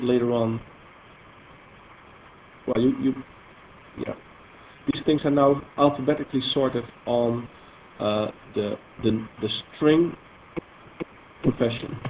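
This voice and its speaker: Dutch-accented man, mid voice, halting demo delivery, tinny lo-fi sound. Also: mid-range voice. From Netherlands. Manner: halting, filler-heavy, self-correcting explanation. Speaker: software presenter demonstrating database queries. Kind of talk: live technical demo talk. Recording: tinny phone-like audio with faint noise.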